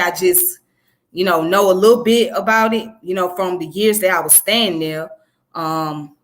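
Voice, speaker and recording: A woman's voice: fairly low pitch, a bit bright. Lady doing a chatty history explainer, keeps checking you're following. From southern United States, Georgia. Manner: conversational, explanatory, often checks with listener using "right?" and "okay?". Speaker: history presenter. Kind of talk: informal educational commentary.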